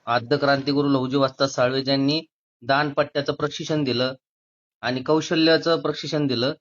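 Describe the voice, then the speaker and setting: A man's voice: medium pitch, fairly even intonation, a little fast. A man in a phone debate, persistently challenging the other person. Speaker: caller challenging an activist. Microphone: phone call. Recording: dull lo-fi phone call recording.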